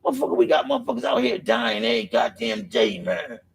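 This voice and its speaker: A middle-aged man with a raspy voice, speaking in an impassioned, profane monologue on a podcast, filling his pauses with "man" and circling back through restarts. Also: medium pitch, wildly swooping pitch. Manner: rambling with restarts. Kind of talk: impassioned street-life monologue.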